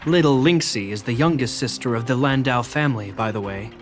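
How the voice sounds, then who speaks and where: A man's voice: medium pitch, fairly quick, slight background noise, natural voice. A man voicing an earnest, reluctant young brother in a video game, speaking in a restrained, dry, matter-of-fact way. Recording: studio voice over background music. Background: game background music.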